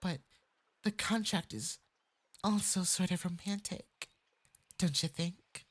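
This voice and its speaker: A performer with a light, breathy voice playing a clingy, lovestruck fox-spirit femboy mage in an intimate ASMR roleplay, coaxing sweetly and possessively. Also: medium pitch, takes it slowly. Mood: tender, pleased. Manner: sweetly coaxing, possessive, swinging into eager excitement.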